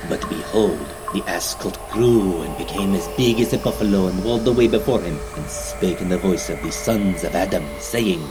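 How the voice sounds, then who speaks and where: A man's voice: deep voice, normal speed, hardly any pauses, music in the background, rough recording. A man narrating a scripted dramatic tale in a formal, archaic, theatrical storytelling manner.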